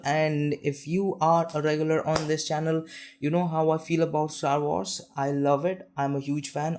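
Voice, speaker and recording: A man's voice: a little high, a little mellow. A young man hosting a casual tech news roundup, chatty and self-deprecating, with frequent restarts and asides. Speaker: tech news show host.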